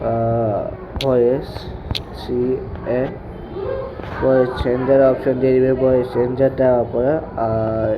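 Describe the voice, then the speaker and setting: A man giving a casual tutorial as a tech video creator. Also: medium pitch. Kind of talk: casual spoken screen-recording tutorial. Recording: dull lo-fi mic with clear background noise.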